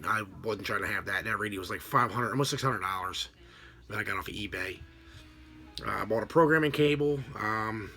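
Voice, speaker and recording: A middle-aged American man giving a casual, unscripted explanation to camera in a halting, rambling way. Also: on the low side, sharp tone. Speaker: vlogger, radio scanner hobbyist. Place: small home room with a desk, indoors. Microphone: computer webcam at desk distance.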